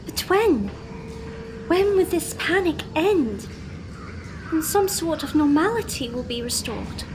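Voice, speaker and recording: A woman's voice: high voice, very animated, slow pace, noisy background, full character voice. A woman playing a sensitive young Victorian daughter, plaintive and formal, in a period audio drama. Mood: fed up.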